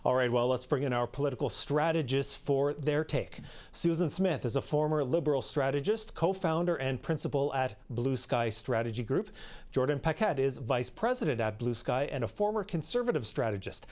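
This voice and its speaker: A man's voice: mid-range voice, normal speed, darkish tone. Male news moderator, mid-range voice, broadcast political panel delivery.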